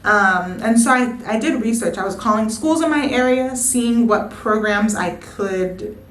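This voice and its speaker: A woman's voice: fairly high pitch, measured pace, a bit bright, reverberant. A young woman, a nursing student, chatting casually on her vlog while coming down with a sore throat. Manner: chatty, candid, self-deprecating. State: getting sick, sore throat. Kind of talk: casual coffee-and-chat vlog.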